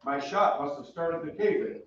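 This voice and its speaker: An actor playing an alert, protective police inspector in an old-time radio drama. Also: male voice, a little high, expressive, moderate pace, fairly bright tone, slight background noise. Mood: firm. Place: theatre stage. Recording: very echoey stage, distant, muffled.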